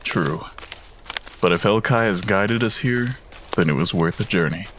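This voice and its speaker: A man playing a calm, wise and foreboding seer in a fantasy audio drama, speaking in a measured, solemn way. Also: fairly low pitch, slight background noise.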